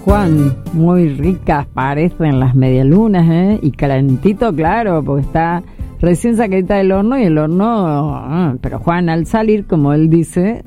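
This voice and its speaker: A woman's voice: sits low, expressive, unhurried, warm tone, soft background music. An Argentine woman with a Córdoba accent hosting a chatty early-morning call-in radio show and reading out listener messages. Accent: Córdoba Argentine Spanish. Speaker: radio host.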